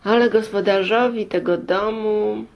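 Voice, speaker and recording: A woman narrating a moral folk tale expressively, in a deliberate way with dramatic pauses. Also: mid-range voice, steady tone, gravelly, a little mellow. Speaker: storyteller.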